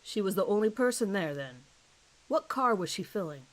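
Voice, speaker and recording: A woman's voice: medium pitch, expressive, normal speed, natural voice, poor audio. A woman voicing a middle-aged British male police detective who questions a suspect in a formal, clipped, probing manner in an audio horror story. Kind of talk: dramatized police interview recording.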